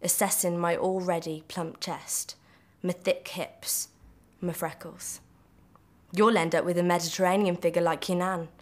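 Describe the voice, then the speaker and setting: A woman reads from a novel in the first person. Her voice sits a little low, and she delivers the prose as a scripted storytelling reading. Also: fairly even intonation, unhurried. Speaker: reader of a novel extract.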